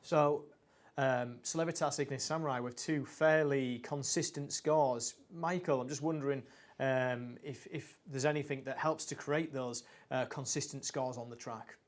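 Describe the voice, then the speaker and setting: A man's voice: medium pitch, steady tone, normal speed. A man presenting a live school car racing show, upbeat, handing over between the commentators, with a few fillers. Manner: upbeat, links segments, some fillers.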